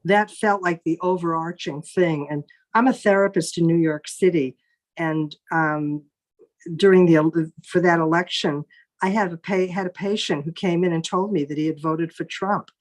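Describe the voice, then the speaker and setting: Woman, lowish voice, thoughtful, hesitant, restarts, panel chat. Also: steady tone, normal speed. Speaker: therapist, panel participant. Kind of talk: casual panel discussion.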